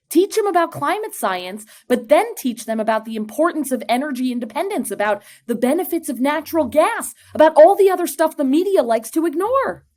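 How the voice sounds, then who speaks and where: A woman's voice: high voice, a little mellow. A woman acting as an assertive, protective small-town mother, pointed and persuasive, in a scripted podcast drama.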